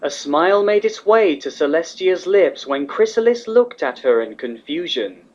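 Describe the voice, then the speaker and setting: Lively, slightly muffled female story narrator. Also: on the low side, normal speed. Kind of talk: expressive scripted narration of fan fiction. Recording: slightly dull, muffled audio.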